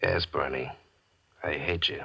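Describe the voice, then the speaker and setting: An actor playing a nervous, resentful small-time gambler in a tense, confiding 1940s radio mystery style. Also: male voice, very deep voice, unhurried, vocal fry, pushed and bright, husky, exaggerated voice. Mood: bitter. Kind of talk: 1940s radio mystery acting, first-person narration. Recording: dull vintage radio recording with music.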